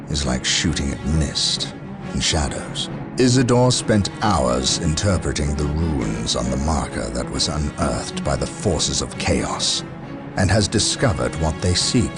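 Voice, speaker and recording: Video game space marine commander: low, animated, formal, resolute, over a score. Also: male voice, full character voice. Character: stern armored human space marine commander, resolute, suspicious. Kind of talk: dramatic game cutscene acting. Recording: music behind the voice. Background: dramatic game music.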